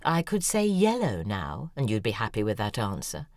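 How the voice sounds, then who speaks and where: A woman's voice: very deep voice, wildly swooping pitch, normal speed, darkish tone, no echo, clean studio sound, heavily acted. An actress narrating in first person as an acerbic, weary female novelist in an audio drama. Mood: wry. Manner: sardonic, weary. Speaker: narrator and lead character, a novelist.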